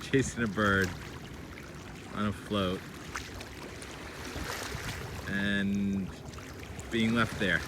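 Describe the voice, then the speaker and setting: A man with a fairly low voice narrates a casual outdoor vlog. He muses aloud in short, enthusiastic exclamations about what he sees. Clear ambient noise from the open air runs behind his phone recording. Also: fairly even intonation, takes it slowly. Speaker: weather vlogger, videographer. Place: outdoors, beach and waterside. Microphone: handheld phone.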